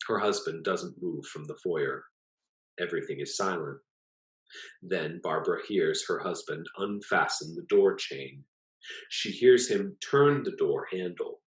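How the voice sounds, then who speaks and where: A man reading a literary short story aloud as its author, in a measured, plain storytelling delivery. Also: low-pitched, lively intonation. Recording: echoey, roomy sound.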